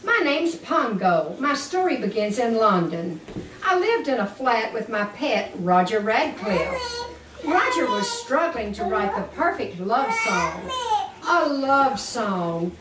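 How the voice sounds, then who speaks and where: An older woman, a grandmother, reads a children's story aloud expressively and deliberately, with doting asides to the children. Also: on the high side, sing-song, background noise. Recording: echoey room, distant mic, music underneath.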